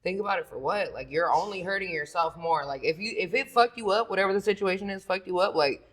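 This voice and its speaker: A woman with a deep, raspy, sleepy-sounding voice, talking about singing as a podcast guest in loose, profane casual chat. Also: quick pace, a bit bright. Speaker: singer guest.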